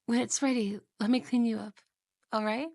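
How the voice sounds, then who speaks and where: A woman voicing a possessive, jealous young wife in an ASMR roleplay, talking softly and slowly in whispers, sweet on the surface and menacing underneath. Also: a little high, fairly even intonation, creaky, no echo, clean studio sound. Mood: sweetly possessive. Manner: soft, slow, whispery, with giggles.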